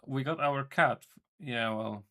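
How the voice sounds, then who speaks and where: A man's voice: medium pitch, pushed and bright. A man streaming as a game developer, chatting casually with his viewers in a rambling way, correcting himself and swearing offhandedly. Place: home room.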